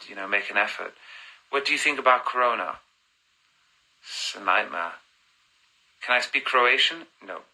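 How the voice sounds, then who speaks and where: A man's voice: fairly low pitch, sharp tone, poor audio. A man in his thirties chatting on a phone live stream, reading fan comments aloud and answering them, switching between languages mid-answer.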